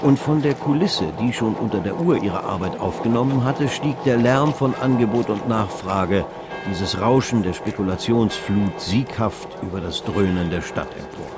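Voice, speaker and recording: An actor narrating a literary radio play as a measured, all-knowing storyteller of an old stock exchange tale. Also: male voice, lowish voice, lightly acted. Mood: measured, vivid. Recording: music behind the voice. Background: music.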